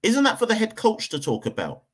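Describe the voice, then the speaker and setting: A man's voice: high voice, wildly swooping pitch, a little fast, breathy edge. A man from Jamaica, hosting a cricket podcast and giving a heated opinion rant, hammering points home by repeating them. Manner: emphatic, repeats points for stress.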